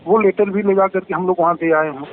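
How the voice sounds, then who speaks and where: A man's voice: high-pitched, fairly even intonation, a little fast. A man, a police officer explaining a case insistently on a phone call and often cutting in. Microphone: telephone line. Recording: tinny noisy phone call recording.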